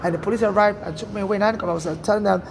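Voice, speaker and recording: High, gravelly Nigerian man. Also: steady tone, very fast, a little hiss or hum. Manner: earnest, rambling, frequent restarts and "you know". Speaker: storyteller recounting personal experience. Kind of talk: casual first-person monologue.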